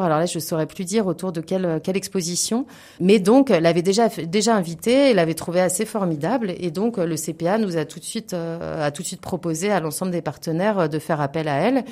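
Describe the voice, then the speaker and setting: Lower-pitched woman, hesitant interview guest. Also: a little fast, warm tone. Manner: hesitant, searching for words. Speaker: interview guest, theatre representative. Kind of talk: spontaneous radio interview conversation.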